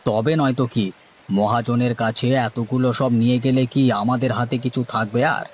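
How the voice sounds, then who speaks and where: A man's voice: medium pitch, flat delivery, fairly quick, lightly acted. Man acting folksy village boatman over noise. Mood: purposeful. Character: seasoned village boatman uncle, cautious, fatalistic fisherman. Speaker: cartoon voice actor. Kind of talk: dramatised Bengali cartoon story dialogue.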